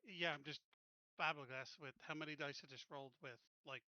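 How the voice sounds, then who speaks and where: A man's voice: on the high side, fairly even intonation, brisk, sharp tone, no room sound. A man chatting casually as a player in a tabletop game. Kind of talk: casual chat. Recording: dull, very noisy voice-chat audio with clicks.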